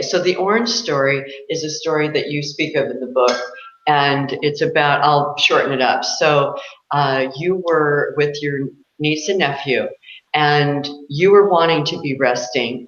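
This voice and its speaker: Woman interviewer, roomy dull lo-fi audio, chatty n enthusiastic, telling a story. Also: sits low. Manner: enthusiastic, expressive, informal. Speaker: interviewer, co-host. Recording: roomy echo, dull lo-fi sound.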